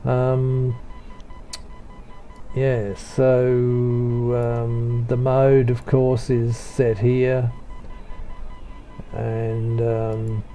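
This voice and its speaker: Slow, low-key man with dark voice. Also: muffled recording. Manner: low-key, hesitant, halting delivery. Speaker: software tutorial presenter. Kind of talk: unscripted screen tutorial voice-over.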